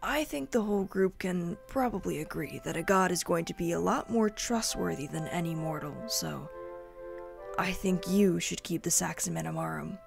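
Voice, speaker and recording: Woman playing a gravelly, earnest rebel hero. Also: fairly low pitch, moderate pace, music in the background. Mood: earnest relief. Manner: earnest, speechifying, dramatic. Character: vengeful, resolute rebel leader, male hero. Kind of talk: fantasy audio drama acting.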